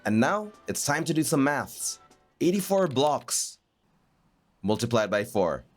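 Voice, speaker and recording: Raspy-voiced man with a cold narrating gameplay. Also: mid-range voice, very animated, unhurried. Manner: chatty, self-mocking, upbeat. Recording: faint background music.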